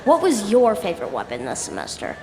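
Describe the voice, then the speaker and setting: A woman's voice: medium pitch, wildly swooping pitch, a little fast. A woman playing an eager, friendly young student in a comic audio drama, speaking politely and cheerfully. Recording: reverberant hall, distant, clear background noise.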